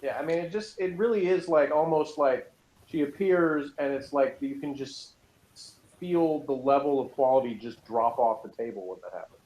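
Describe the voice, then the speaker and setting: A man's voice: mid-range voice, moderate pace, darkish tone. A man casually reviewing in conversation, opinionated and sarcastic, prone to ranting. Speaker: podcast review host. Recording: roomy, echoing sound.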